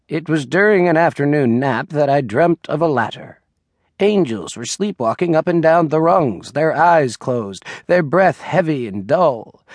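A man's voice: fairly high pitch, no echo, studio quality. A man reading literary fiction as an audiobook narrator, in a scripted storytelling style.

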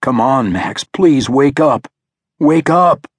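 Man voicing a husky, desperate father in battle. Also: fairly low pitch, moderate pace, no room sound, studio quality. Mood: desperate pleading. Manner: urgent, pleading, colloquial. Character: desperate, protective father fighting a zombie horde.

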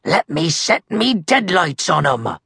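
A woman puts on a blustering storybook pirate captain with a thick stage pirate accent. The voice is rough and gravelly, leaping wildly up and down. The captain bosses and bellows with theatrical relish. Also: lowish voice, big pitch swings, takes it slowly, a bit bright, no room sound, studio quality, voice pushed up, exaggerated voice. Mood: menacing. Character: blustering bloodthirsty boy pirate captain, loud and bossy. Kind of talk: children's storybook character voice.

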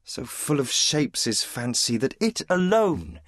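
Slow, languid lovesick duke. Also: male voice, mid-range voice, big pitch swings, a bit bright, dry recording, studio quality. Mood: languid, rapturous. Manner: languorous, poetic, sighing delivery. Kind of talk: Shakespearean audio drama.

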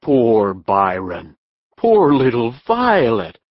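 Slow highly animated male voice, kindly worried farmer, folksy, storybook style, slightly dull recording. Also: no echo. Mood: worried, tender. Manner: tender, folksy. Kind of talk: full-cast children's audiobook acting.

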